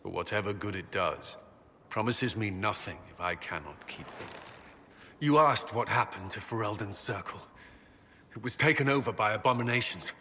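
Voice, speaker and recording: An actor playing a proud, tormented templar commander in a fantasy video game, intense and halting in delivery. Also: male voice, on the low side, expressive, sharp tone, in a large hall, a little hiss or hum. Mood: bitter, anguished. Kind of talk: dramatic video game acting.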